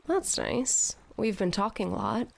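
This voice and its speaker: A woman's voice: medium pitch, wildly swooping pitch, unhurried, gravelly, darkish tone, exaggerated voice. A woman's mid-range voice for an audio drama. She plays a lovelorn shipboard romantic who covers it with sarcasm, tipping into theatrical self-pity. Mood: flirtatious. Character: sardonic lovelorn woman aboard a tall ship.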